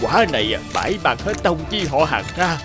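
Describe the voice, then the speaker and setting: Nervous middle-aged fortune master in a cartoon, stammers, voice jumps around, music behind. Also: male voice, on the high side, sing-song, moderate pace. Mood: flustered, nervous. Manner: hesitant, stammering. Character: flustered, guilty middle-aged male feng shui master. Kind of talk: Vietnamese folk-tale cartoon dubbing.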